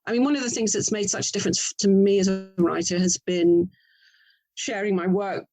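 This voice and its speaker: A middle-aged woman with an English accent speaks as an author being interviewed. Her pitch sits in the middle range. She is conversational and reflective, rewording herself as her sentences meander. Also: fairly even intonation, a little fast, dark tone, faint music behind, rough recording. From England. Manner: reflective, meandering, self-correcting.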